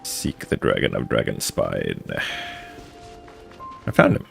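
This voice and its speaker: A man's voice: a bass voice, slow, gravelly, fairly bright tone, faint music behind. A man giving casual live game commentary, wry and muttering to himself as he plays. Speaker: live streamer.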